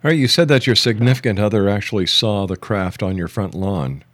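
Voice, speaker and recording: Low-voiced male radio interviewer, close and dry. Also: fairly quick, a bit bright, no room sound. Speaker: radio show host, interviewer. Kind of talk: probing conversational radio interview.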